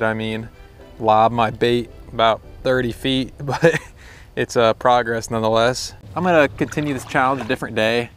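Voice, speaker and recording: A young American man chatting casually and informally to camera as a fishing vlogger outdoors. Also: medium pitch. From United States. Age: young adult. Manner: easygoing, informal. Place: outdoors by a pond, windy.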